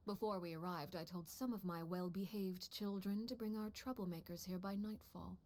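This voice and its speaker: A woman voicing a stern, icily composed head of an assassin household in a video game, speaking low and measured, often near a whisper. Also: fairly even intonation, a little mellow, a little hiss or hum, natural voice. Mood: coldly composed. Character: cold, stern mother figure called Father, head of an assassin household. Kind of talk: video game story dialogue.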